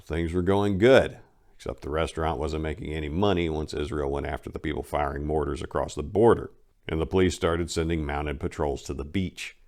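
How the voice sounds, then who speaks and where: Deep-voiced man reading his memoir. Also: darkish tone. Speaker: reader of a memoir. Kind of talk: dramatic reading of a first-person book.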